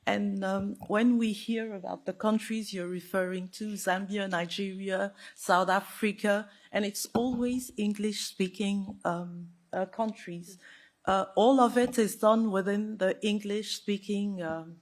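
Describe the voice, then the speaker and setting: A woman's voice: mid-range voice, fairly even intonation, measured pace. French-native woman speaking with fillers. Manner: frank, hesitant with many fillers. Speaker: panelist. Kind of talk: panel discussion.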